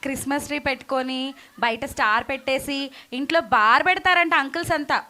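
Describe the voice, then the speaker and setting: A woman puts on a childlike puppet character with a very high voice. This little friend is sensible and gentle, asking questions and patiently explaining things in a children's puppet show. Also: a little fast. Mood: questioning.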